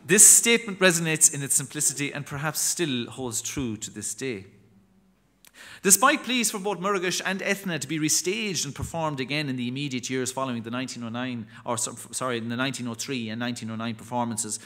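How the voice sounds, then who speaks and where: A man in his thirties reads a formal lecture from a lectern microphone in a chapel. His voice is bright and clear, his delivery measured, with the odd restart on a word. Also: medium pitch. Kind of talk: reading a prepared academic talk.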